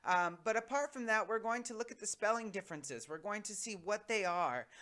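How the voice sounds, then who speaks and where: Clear-voiced American English teacher. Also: medium pitch, animated, brisk, a bit bright. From United States. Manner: conversational, addresses viewers directly. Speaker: English teacher presenting an online lesson. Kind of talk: informal explanatory lesson to viewers.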